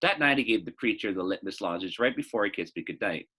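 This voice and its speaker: A man reading a children's novel aloud as expressive narration, now and then adding a short teaching aside. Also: lowish voice, fast talker, husky.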